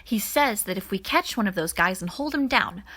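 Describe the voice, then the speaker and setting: A woman's voice: mid-range voice, animated, moderate pace, a bit bright, slightly rough. A woman voicing a clever, curious teenage girl tech whiz in an audiobook, earnest and casual. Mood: mischievous.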